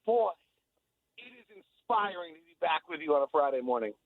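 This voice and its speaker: A man's voice: a little high, very animated, fairly quick, no echo. An American man, a sports broadcaster guesting on radio, chatting casually in an effusive, rambling way. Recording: thin, phone-like line.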